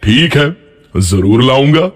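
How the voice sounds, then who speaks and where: A man's voice: mid-range voice, lively intonation, slow pace, faint music behind. A man playing a plain village husband and father in a cartoon story, speaking in a simple, matter-of-fact way. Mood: content. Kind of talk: Hindi cartoon story dialogue.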